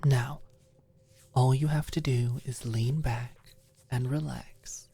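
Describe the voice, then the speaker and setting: A woman playing a confident, doting, teasing girlfriend in an intimate ASMR roleplay, soft and playful. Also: very deep voice, fairly even intonation, slow, slightly rough, exaggerated voice. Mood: tender, soothing.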